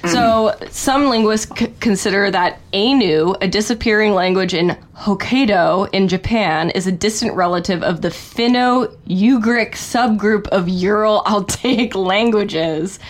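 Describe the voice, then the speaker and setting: A woman's voice: medium pitch, a little slow, few pauses. A woman co-hosting a casual chat, reading passages aloud and then brushing them off with a dismissive aside. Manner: reads aloud then shrugs it off with a dismissive "whatever". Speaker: podcast co-host.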